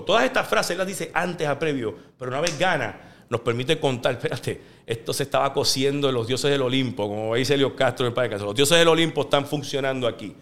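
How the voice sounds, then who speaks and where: A man with a Puerto Rican Spanish accent, a sports journalist telling stories in an interview and acting out the quotes. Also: on the high side. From Puerto Rico. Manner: animated, fast storyteller who acts out quotes. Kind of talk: interview storytelling.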